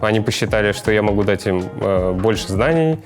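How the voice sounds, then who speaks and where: A 25-year-old man giving halting interview answers as an esports coach. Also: on the low side, animated. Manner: halting, hesitant. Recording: music with guitar behind the voice.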